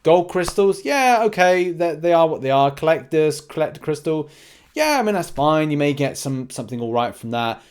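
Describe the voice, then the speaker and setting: A man with a slightly high-pitched voice hosts a gaming update. He speaks casually and off the cuff, rambling a little as he thinks aloud. His commentary often breaks into short, clipped asides. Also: lively intonation, normal speed, smooth. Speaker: gaming video host. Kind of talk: casual unscripted game news commentary.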